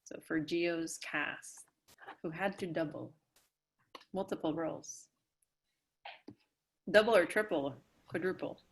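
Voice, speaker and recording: A woman's voice: low-pitched, slow pace, long pauses, rough recording. A woman moderating a casual online question-and-answer panel, speaking over a video call. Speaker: moderator of a theatre Q&A.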